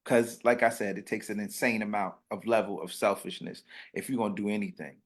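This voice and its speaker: A man in his late thirties hosting a casual interview, animated and preachy, dropping to a hush between loud bursts. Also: fairly low pitch, a little fast. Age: 30s. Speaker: podcast host.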